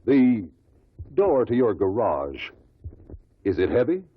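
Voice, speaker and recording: An actor playing a shrewd investigator who puts formal, insistent questions to witnesses at an inquest in a 1950s radio drama. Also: male voice, lowish voice, big pitch swings, slow pace, a little hiss or hum. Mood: probing, firm. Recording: muffled old radio recording.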